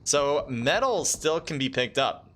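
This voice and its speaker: A man casting a live mobile battle royale match as play-by-play commentator, talking casually with fillers and jumping up in pitch when fights break out. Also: very animated, unhurried, fairly bright tone. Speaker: esports caster. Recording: game gunfire clearly underneath. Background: game gunfire and clicks.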